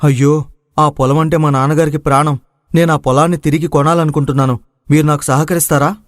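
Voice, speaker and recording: A man's voice: fairly high pitch, steady tone, brisk, no room sound, clean studio sound, lightly acted. A man playing an earnest, respectful, devoted young son who farms, in a dramatized moral story. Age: young adult.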